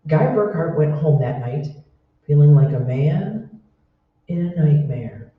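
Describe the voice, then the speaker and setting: A man's voice: fairly high pitch, animated, measured pace. A man playing the grave narrator of an eerie old-time radio mystery. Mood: ominous. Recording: echoey hall, distant mic, dull.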